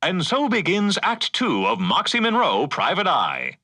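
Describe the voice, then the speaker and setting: A man's voice: sits high, huge pitch swings, unhurried, pushed and bright, full character voice. A man playing a dramatic radio serial announcer, introducing an act theatrically.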